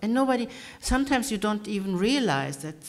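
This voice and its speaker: Woman with halting English. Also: medium pitch, sing-song. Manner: halting non-native English, searching for words. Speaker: panel guest. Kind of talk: panel discussion answer. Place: public debate hall.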